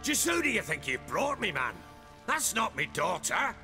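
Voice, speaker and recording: An actor playing a burly, gruff, middle-aged lord in a fantasy video game, blunt and coarse yet hospitable. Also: male voice, sits high, sing-song, moderate pace, sharp tone, faint music behind, heavily acted. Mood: angry, gruff. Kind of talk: dramatic video game acting.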